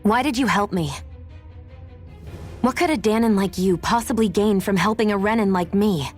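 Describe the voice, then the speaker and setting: A woman plays a defiant young fugitive in a game. Her medium-pitched voice is haughty and curt, full of pride. Light music sits behind her. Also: normal speed, lightly acted. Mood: sharp, defiant.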